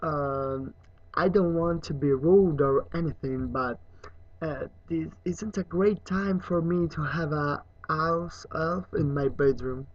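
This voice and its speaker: A polite, awkward young boy from a storybook, as an adult reader plays him. He speaks slowly and hesitantly, trying to be tactful. The sound is echoey and slightly muffled. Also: mid-range voice. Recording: muffled, echoey room recording.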